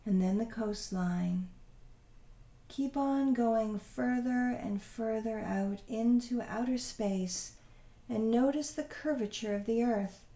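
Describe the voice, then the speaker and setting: A woman leading a guided visualization meditation in a soothing, unhurried, gently instructing way. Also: a little high, echoey room.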